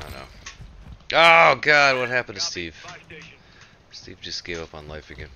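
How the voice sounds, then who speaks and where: A man's voice: on the low side, big pitch swings, a little slow, gravelly, sharp tone. A man chatting and joking with friends while streaming a shooter game, dry quips throughout. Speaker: streamer and actor. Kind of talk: casual gaming chat with friends. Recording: noisy game stream audio.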